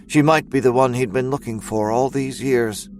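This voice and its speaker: A man narrating scripted dramatic fiction in a measured storytelling manner. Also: medium pitch, fairly even intonation, darkish tone, slight background noise.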